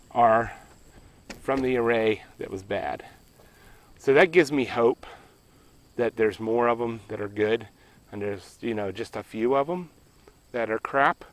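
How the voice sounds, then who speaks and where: A middle-aged man from Pennsylvania walking through his solar array outdoors, talking in a plainspoken, rambling way and correcting himself as he goes. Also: medium pitch. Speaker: homestead solar vlogger. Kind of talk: casual walkthrough vlog. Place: outdoors by a ground-mounted solar array in a rural field.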